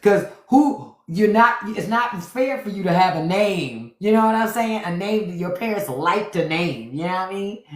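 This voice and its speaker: A woman's voice: mid-range voice, expressive, moderate pace, few pauses, reverberant. A woman hosting a casual livestream chat, emphatic, repeating her points and reading viewer comments aloud. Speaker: podcast host. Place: home room on a livestream.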